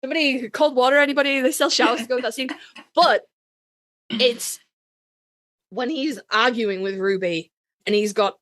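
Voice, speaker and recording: A woman's voice: fairly high pitch, normal speed, pauses often, a bit bright, no echo. A woman co-hosting a casual fan podcast, chatting in a sweary, gushing, self-mocking way. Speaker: podcast co-host.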